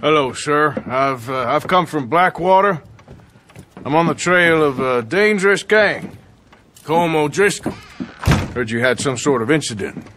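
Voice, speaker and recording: An actor playing a gruff, sardonic Wild West outlaw in a video game, with an American Western drawl and a dry, menacing manner. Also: male voice, on the high side, lively intonation, a little slow, background noise. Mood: polite. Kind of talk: video game cutscene acting.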